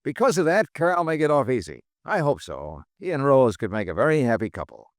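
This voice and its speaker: A man's voice: mid-range voice, big pitch swings, fairly quick, no room sound, lightly acted. An actor playing a cool, dry male insurance investigator in a 1950s radio drama, asking terse, clipped questions. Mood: wry.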